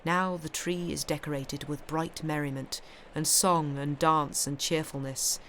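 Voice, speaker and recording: A woman's voice: deep voice, fairly even intonation, unhurried, fairly bright tone, slight background noise, rough recording. A woman hosting a live stream of festive readings from home, speaking into a streaming microphone. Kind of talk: expressive literary reading aloud; casual live-stream chat.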